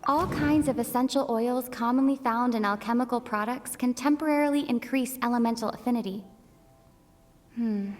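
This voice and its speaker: An actress playing a thoughtful, observant female traveler in a video game, reasoning in a pensive, deliberate way. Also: on the high side, darkish tone, lightly acted.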